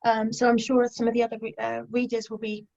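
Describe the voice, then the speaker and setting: A woman's voice: on the high side, monotone, fast talker, vocal fry, a little mellow, lo-fi sound. A woman hosting a literary session, introducing the speakers in an organized way over a video call. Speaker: festival session host.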